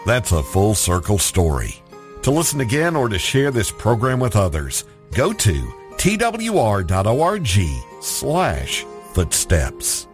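A man's voice: fairly low pitch, huge pitch swings, measured pace, faint music behind. A man hosting a scripted radio feature, introducing the guest and reacting to him with enthusiasm. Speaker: radio program host.

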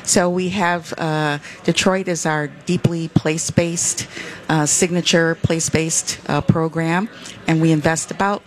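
Measured guest from West Michigan. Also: medium pitch, steady tone. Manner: measured, many "uh" fillers while explaining. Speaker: foundation representative, guest. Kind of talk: explanatory interview answers.

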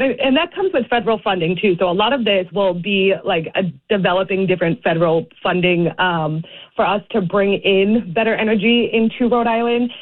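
A woman in her thirties with a Boston accent, a candidate talking earnestly on a radio call-in with lots of ums and restarts. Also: medium pitch. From Boston area. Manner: earnest, halting, with many fillers. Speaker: congressional candidate, radio guest. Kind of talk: casual radio interview. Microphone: phone call. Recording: tinny phone line.

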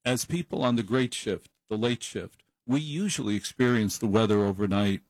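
A man's voice: fairly low pitch, normal speed, a little mellow, no echo, poor audio. Chatty late-night radio host man. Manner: rambling, chatty, self-deprecating. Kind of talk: casual monologue on call-in radio. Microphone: studio microphone.

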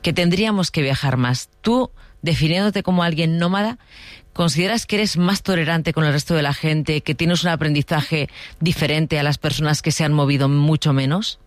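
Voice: mid-range voice, moderate pace, no room sound. Attentive radio host, dry sound. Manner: attentive, asks many questions. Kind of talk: interviewing.

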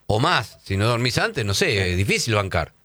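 An Argentine man hosting a radio interview, chatty with quick reactions. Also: lowish voice, sing-song, a bit bright, no room sound. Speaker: radio host.

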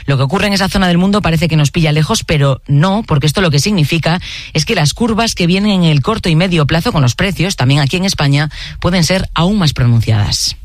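A woman presenting the afternoon radio news, talking brisk and fluent as she introduces reporters. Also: low-pitched, no room sound, studio quality. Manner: brisk, fluent, conversational delivery. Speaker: news anchor.

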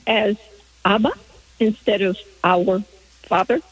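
Slow, husky woman phoning a radio show. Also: medium pitch, steady tone. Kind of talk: asking a question on a call-in show. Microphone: phone. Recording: telephone call heard over radio.

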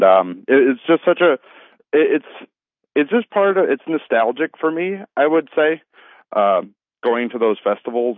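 Lowish-voiced man on a phone line. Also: no room sound. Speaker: phone-in guest, festival organiser. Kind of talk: conversational interview. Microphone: telephone. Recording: thin, narrow phone line.

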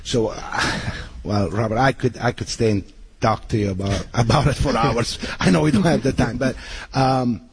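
A man speaks as a guest on a radio interview. His voice is somewhat husky, and he pauses often with fillers and restarts. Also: medium pitch. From Romania. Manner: hesitant, many fillers and restarts. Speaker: hotel manager guest.

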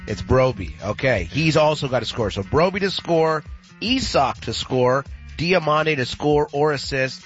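A man's voice: mid-range voice, muffled recording. A man hosting a sports radio show, talking casually and jokingly with a congested, stuffy voice. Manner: playful, jokey. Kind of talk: casual radio chat with sponsor reads.

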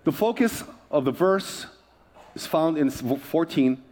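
High-pitched male preacher, emphatic. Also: expressive, takes it slowly, a little mellow. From Hawaii, United States. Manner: emphatic, repeats phrases, prompts the listeners. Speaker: pastor preaching to a congregation. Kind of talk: sermon.

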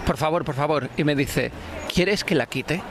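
A man's voice: on the high side, moderate pace, noisy background. A man with an accent from Spain telling anecdotes casually as a radio contributor.

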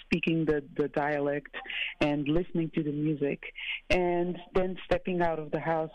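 A woman from Portugal, a musician guest in a radio interview, talking in a halting way with many fillers and restarts. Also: sits low, flat delivery, no room sound, muffled recording. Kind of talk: casual interview.

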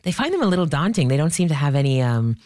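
Low-voiced Canadian woman hosting, thick with a cold. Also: big pitch swings, fast talker, darkish tone, no echo, clean studio sound. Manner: conversational, addresses listeners directly. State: has a cold, voice lowered. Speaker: radio phone-in host. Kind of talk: hosting a live call-in discussion.

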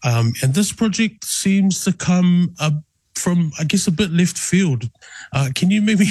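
A man's voice: on the high side, darkish tone, no room sound. A man hosting a talk show, asking long, polite questions after formal greetings. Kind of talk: interview hosting.

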